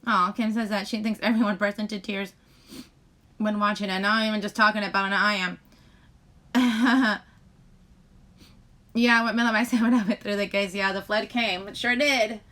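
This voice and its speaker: A woman hosting a casual live chat, rambling to viewers in a halting way, often breaking off mid-sentence. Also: mid-range voice, steady tone, bright, forceful tone. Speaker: live stream host chatting with viewers.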